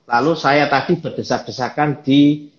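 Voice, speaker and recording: Guy explaining stuff calmly, lots of ums, roomy muffled sound. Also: mid-range voice, normal speed. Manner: calm, explanatory, many fillers. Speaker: guest speaker. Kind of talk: explaining in a live online chat.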